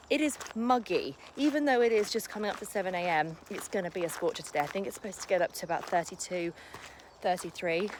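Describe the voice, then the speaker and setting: A woman's voice: on the low side, a little hiss or hum. Chatty young woman vlogging on a walk. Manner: chatty, upbeat, fillers and self-corrections, talks to her dog. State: slightly croaky morning voice. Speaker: lifestyle vlogger. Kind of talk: casual vlog chat to camera. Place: outdoors on a morning walk. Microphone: phone held while walking.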